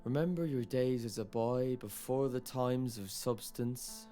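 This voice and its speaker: A man giving slow, reflective advice as a spoken intro on a music track. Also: medium pitch, warm tone. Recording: faint guitar music underneath.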